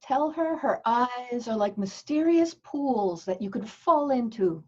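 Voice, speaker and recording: A woman playing a gentle, wistful nun with a cheeky streak in a comedy play table read, hesitant and teasing. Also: a little high, expressive, slow, muffled recording.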